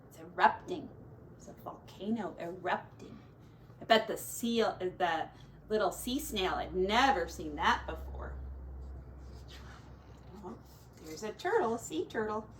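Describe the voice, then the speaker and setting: A woman's voice: medium pitch, animated, measured pace, long pauses. A woman teacher reading a rhyming children's picture book aloud theatrically, drawing out key words and adding little asides. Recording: roomy echo, distant mic, faint noise.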